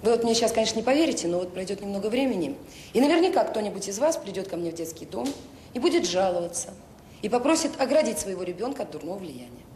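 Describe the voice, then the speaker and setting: An actress playing a devoted, earnest director of a children's home, speaking in short emphatic phrases in a naturalistic radio drama. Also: female voice, very animated, fast talker, a little mellow, lightly acted. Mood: earnest, persuasive. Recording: roomy sound with faint noise and rumble.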